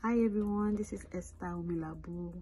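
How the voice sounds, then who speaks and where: A woman's voice: lowish voice, brisk, warm tone, slight background noise. A woman from Nigeria giving an upbeat, enthusiastic personal testimonial. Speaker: online business owner.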